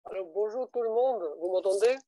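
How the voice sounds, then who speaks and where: A man's voice: high voice, fairly quick, mellow, dry recording. A man giving a casual, hesitant personal recollection as a former trainee.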